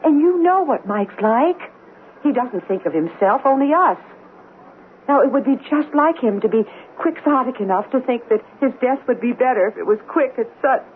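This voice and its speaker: A woman's voice: high voice, expressive, normal speed. An actress playing a worried, emotional woman in a radio drama, reproachful and clinging to hope. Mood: pleading. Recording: phone-like old radio, faint noise.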